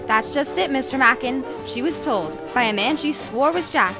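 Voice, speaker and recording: An actress playing a bold, flirtatious and pushy client in a noir radio play, coy and insistent. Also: female voice, sits high, animated, moderate pace. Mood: earnest. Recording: music under the voice.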